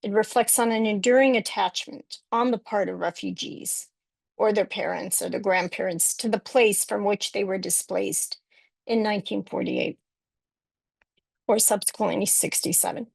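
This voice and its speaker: A woman speaks over a video call in a measured, reflective way, pausing often on small fillers. Her mid-pitched voice has a noticeable gravelly fry. The sound is dry and close, with no room around it. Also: dry recording. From Chicago, United States. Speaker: academic panelist. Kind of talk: webinar presentation.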